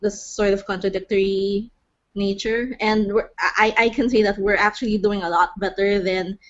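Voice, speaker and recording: A woman from the Philippines with a Filipino accent, speaking in a measured, halting way with frequent restarts on an online panel. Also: mid-range voice, fairly even intonation. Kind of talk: casual panel discussion. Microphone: video call. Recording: dull lo-fi online call audio.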